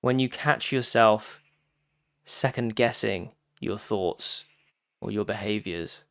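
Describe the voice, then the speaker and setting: A man giving a guided meditation talk as a teacher, phrasing deliberately with frequent pauses and addressing listeners directly. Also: lowish voice, gravelly, a bit bright, no echo, studio quality.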